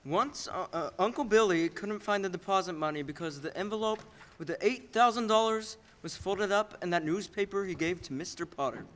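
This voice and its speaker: A man's voice: high voice, fairly quick, a bit bright. A man playing an instructive heavenly narrator in an old-time radio play. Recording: reverberant hall.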